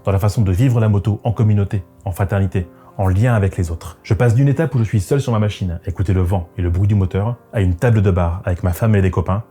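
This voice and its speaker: Fast-talking man with a dark, mellow voice. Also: on the low side. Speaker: motorcycle vlogger and narrator. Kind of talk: scripted first-person narration. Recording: music behind the voice. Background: background music.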